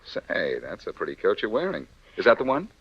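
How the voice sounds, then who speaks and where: A suave, easygoing rogue hero of 1940s radio drama, speaks very low with a highly animated melody. His delivery is smooth and flirtatious, on a dull, muffled recording. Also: brisk, no echo, exaggerated voice. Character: suave, easygoing male reformed thief turned adventurer hero.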